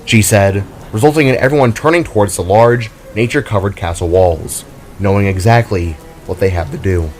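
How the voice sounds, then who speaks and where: A man's voice: on the low side, brisk, darkish tone, soft background music. A man reading scripted, dramatic fantasy narration as a storyteller, with rain-like ambience behind him.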